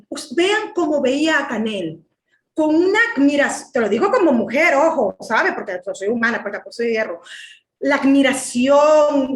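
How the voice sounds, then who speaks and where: High-pitched young woman, heated political commentary, passionate, stumbling repeats, echoey room. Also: fairly bright tone. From Venezuela. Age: young adult. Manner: passionate, indignant, repeats words when agitated. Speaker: panel guest.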